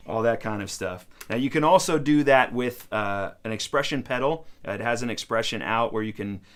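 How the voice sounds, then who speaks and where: A man's voice: medium pitch, brisk. A man talking casually as he demonstrates guitar gear in a tutorial.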